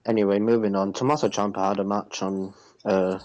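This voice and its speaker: Male podcast co-host, lower register. Blunt and dismissive in casual chat, clearly noisy recording. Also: flat delivery, brisk. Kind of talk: casual wrestling discussion.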